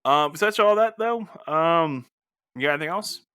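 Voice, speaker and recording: Male podcast co-host, jokey and quick to laugh, very bright, forward voice with a dry close sound. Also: fairly high pitch, expressive, clear, resonant tone, no room sound. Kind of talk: casual unscripted banter.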